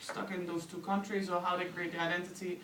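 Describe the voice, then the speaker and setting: A woman's voice: on the low side, flat delivery, fairly bright tone, reverberant, distant mic, slight background noise, poor audio. A woman in her thirties with a Dutch accent, a guest on a radio interview, talking in a chatty way and saying "like" often. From Netherlands. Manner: chatty, quick, uses "like" often. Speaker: guest, anthropologist.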